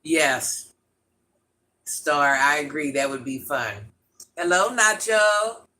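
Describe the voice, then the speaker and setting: A man with an itchy, scratchy throat, hosting a livestream in a grandmother persona and chatting through viewer comments with quick laughs and asides. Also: on the high side, expressive, pushed and bright. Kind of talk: casual live chat answering viewer comments.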